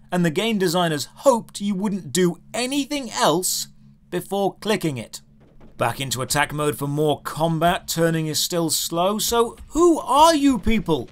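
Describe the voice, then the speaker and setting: Man, video host, higher-pitched voice, very animated intonation, sarcastic scripted commentary, theatrical emphasis. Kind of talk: scripted game review narration.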